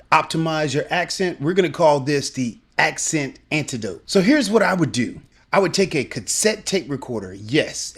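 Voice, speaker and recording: A middle-aged man giving a scripted video lesson as a language teacher, upbeat and emphatic in his coaching. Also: fairly high pitch.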